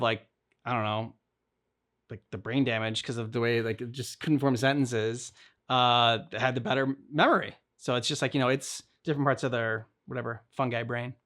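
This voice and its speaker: A man hosting a reaction show, chatting casually in rambling, halting trains of thought. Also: mid-range voice, bright, forceful tone. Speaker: reaction channel host.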